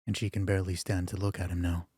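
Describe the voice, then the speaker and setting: A man narrating a dramatic roleplay story in a hushed, deliberate way, with pauses between phrases. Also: low-pitched, little pitch movement, breathy edge, no echo, clean studio sound.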